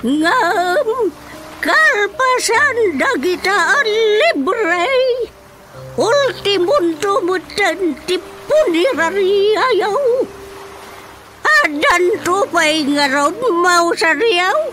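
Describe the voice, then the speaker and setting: A woman's voice: very high-pitched, lively intonation, extremely slow, heavily acted. A woman playing a chatty mother with a third eye in a radio comedy, in a sing-song, dramatic way of talking. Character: middle-aged mother who sees with a third eye. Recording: music under the dialogue.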